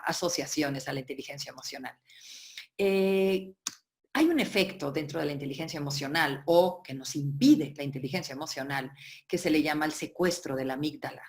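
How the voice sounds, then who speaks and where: A woman from Barcelona, giving a webinar lecture as a workshop facilitator, explaining at length and often checking in with her listeners. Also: low voice. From Barcelona, Spain. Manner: explanatory, with frequent check-ins and filler "eh".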